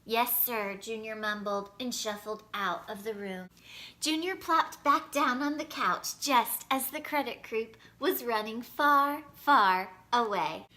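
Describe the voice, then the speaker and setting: A woman narrating a children's storybook in an expressive, animated way for young listeners. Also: fairly high pitch, slow pace.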